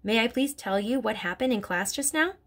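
Woman reader doing a gentle young teacher; higher pitch, polite, pleading, earnest. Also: expressive, fairly quick.

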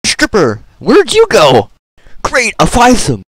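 Crude, excitable male game-parody character, acted by a man; higher pitched, very animated, dry recording. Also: measured pace, dry recording, full character voice. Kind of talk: comedic video game parody voice acting.

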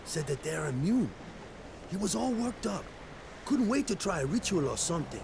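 An actor playing a guarded, blunt young local gatekeeper in a video game who drives a bargain. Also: male voice, high voice, big pitch swings, moderate pace, vocal fry, background noise, full character voice. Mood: dismissive. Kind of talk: video game cutscene acting.

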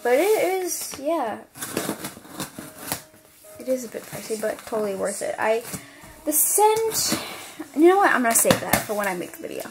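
A woman chatting casually while unboxing an album at her desk, rambling with lots of fillers and her voice going hoarse. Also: fairly high pitch, sing-song, normal speed, pauses often, background noise. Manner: rambling, many fillers and restarts. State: losing her voice. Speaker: unboxing vlogger.

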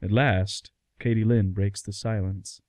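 A man's voice: on the low side, steady tone, measured pace, darkish tone, no room sound, studio quality. A man narrating a scripted radio play.

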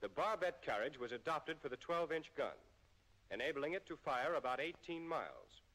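An American man narrates in the formal style of a 1940s military training film. His voice sits a little high, and he speaks in measured, precisely enunciated sentences. The sound is an old film soundtrack with a long reverberant tail. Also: very animated, sharp tone.

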